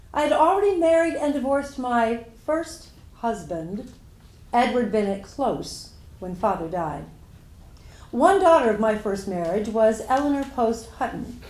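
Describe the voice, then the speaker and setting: A woman playing a wealthy heiress and businesswoman in a scripted stage monologue, telling her life story in measured recitation. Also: on the high side, a little mellow. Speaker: presenter in a dramatic reading. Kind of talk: first-person scripted historical monologue. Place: indoor room at a live event. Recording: roomy, echoey live hall recording.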